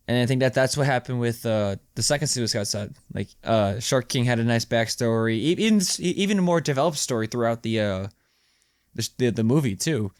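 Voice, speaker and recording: A man with a medium-pitched voice talks as a podcast co-host in a casual, informal style. He rambles as he explains, often doubling back on phrases for emphasis. The sound is dry, with little room in it. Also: no room sound. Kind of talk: casual podcast chat about movies.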